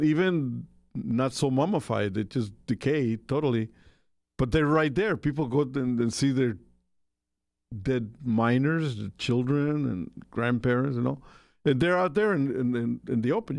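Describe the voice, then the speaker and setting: A man from Costa Rica hosts a relaxed radio chat, his voice carrying a gravelly rasp. He rambles along with frequent fillers and restarts. The sound is dry and close, with no room around it. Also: mid-range voice, no room sound. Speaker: radio show host. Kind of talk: casual radio talk.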